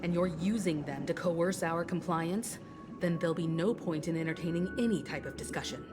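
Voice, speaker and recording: A woman's voice: lowish voice, normal speed, lightly acted. A woman playing a poised, firm female leader in a video game, cool, articulate and resolute. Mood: firm, challenging. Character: poised, firm adult female leader standing her ground. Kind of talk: dramatic video game voice acting. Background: background music.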